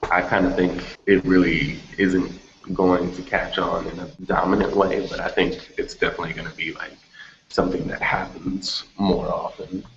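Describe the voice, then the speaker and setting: Deep-voiced man hosting in an echoey room. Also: level tone, moderate pace, gravelly, fairly bright tone. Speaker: host of a music talk show. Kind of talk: casual panel discussion. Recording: muffled, echoey room with noise.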